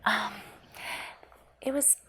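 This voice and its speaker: A woman's voice: very slow, pushed and bright. An actress at a table read playing a guilty, conflicted woman, speaking hesitantly in broken phrases. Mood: hesitant, confessing. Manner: hesitant, breaking phrases apart. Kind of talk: read-through of a stage drama script. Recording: clearly noisy room recording.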